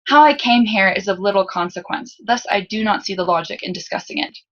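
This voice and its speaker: A woman's voice: medium pitch, a little fast, fairly bright tone, highs cut off, natural voice. A woman voicing a guarded, well-bred young noble girl with formal, haughty diction in an audiobook story. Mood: prim, evasive.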